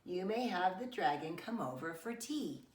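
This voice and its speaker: A woman voicing a calm, kindly but firm mother in a children's storybook, speaking clearly and in a measured way. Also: lowish voice, recorded from a distance, close to an everyday voice. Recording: roomy echo, away from the mic.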